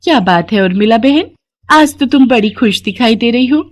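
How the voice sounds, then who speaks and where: A woman's voice: on the high side, lively intonation, moderate pace, pitched above the performer's own voice, lightly acted. A woman voicing a chatty, friendly village neighbour in a cartoon moral story. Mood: cheerful.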